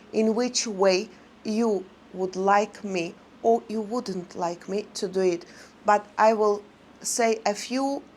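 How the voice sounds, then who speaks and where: A woman vlogger chatting casually to her viewers in non-native English, rambling and halting with many fillers. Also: medium pitch, slight background noise.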